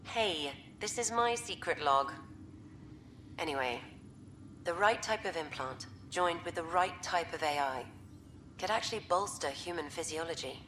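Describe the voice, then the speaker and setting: A woman's voice: on the low side, expressive, unhurried, fairly bright tone, a little hiss or hum. A woman playing a frank, wry, middle-aged scientist wife and mother in a video game, with a dryly teasing way of talking.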